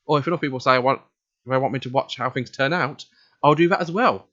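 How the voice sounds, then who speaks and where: A man with a mid-pitched voice hosts a let's play in a casual, slightly halting way. The sound is dull and very dry, like a simple home microphone. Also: sharp tone, no echo. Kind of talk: reading visual novel narration aloud, casual commentary.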